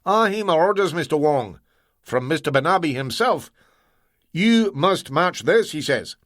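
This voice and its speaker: A man's voice: fairly high pitch, animated, measured pace, fairly bright tone, dry recording, studio quality, voice pushed up. A man voicing a feverish, cunning male ship's engineer with a broad Scottish accent. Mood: gruff. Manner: broad dialect, stubborn and sly.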